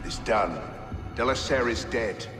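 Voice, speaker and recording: A man's voice: mid-range voice, natural voice. An actor playing a cold, menacing male grand master, in a game cutscene. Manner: slow, cold. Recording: echoey hall with loud music.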